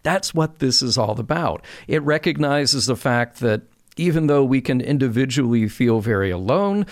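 Earnest man, mid-pitched, dry sound. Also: lively intonation, moderate pace, no room sound. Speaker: podcast guest. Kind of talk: conversational commentary.

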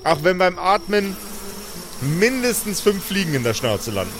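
A man's voice: high voice, big pitch swings, moderate pace, background noise. A man playing in an improvised tabletop role-play, speaking casual, slangy, sweary dialogue with emphasis.

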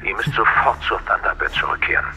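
An actor playing an earnest mission control operator in an audio drama, speaking gravely and deliberately through a radio transmission filter. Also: male voice, fairly low pitch, animated, background noise. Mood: grave urgency. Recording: rough, dull radio transmission sound.

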